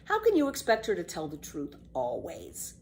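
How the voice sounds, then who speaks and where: A woman's voice: lowish voice, wildly swooping pitch, normal speed, a little mellow. A woman voicing a bold, boastful little girl in a storybook, with theatrical, emphatic, carefree delivery. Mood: earnest, persuasive. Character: bold, boastful, exuberant little girl telling tall tales. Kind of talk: children's storybook read-aloud.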